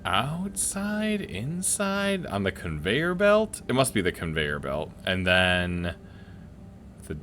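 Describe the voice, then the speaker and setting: A man's voice: on the low side, huge pitch swings, slow, fairly bright tone, slight background noise. A man hosting a gaming video, commenting casually and muttering reactions to himself as he plays. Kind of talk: casual let's play commentary, reading game text aloud.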